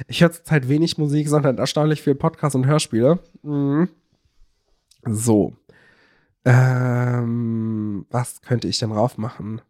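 Male podcast co-host, medium pitch, loose and searching delivery, dry sound. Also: steady tone, takes it slowly, dark tone, no room sound. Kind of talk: casual podcast chat.

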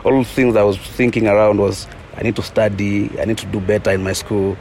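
A man with a Zambian accent telling his own story informally, halting and repeating words as he goes. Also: on the low side, little pitch movement, darkish tone, a little hiss or hum. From eastern Zambia. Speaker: community conservation leader. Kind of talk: personal storytelling in an interview.

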